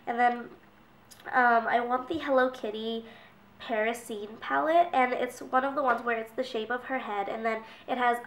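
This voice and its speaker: A woman's voice: on the high side, fairly even intonation, normal speed, a bit bright, distant mic. Congested young woman chatting, roomy. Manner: chatty, rambling with many fillers. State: congested from a cold. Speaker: beauty vlogger. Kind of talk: casual talk to camera. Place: small bedroom indoors. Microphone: camera mic at a distance. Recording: roomy, from off the mic.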